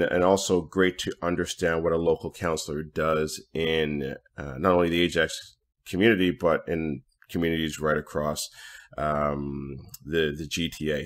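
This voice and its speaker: Male podcast host, very low voice, casual and conversational, halting delivery with repeated words.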